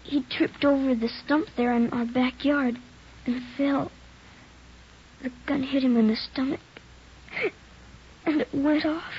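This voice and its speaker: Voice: high-pitched, fairly even intonation, slow, pauses often. An actor in a 1940s radio drama playing a frightened, guilt-ridden nine-year-old boy, pleading and earnest. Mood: anxious, explaining. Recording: dull noisy 1940s radio recording.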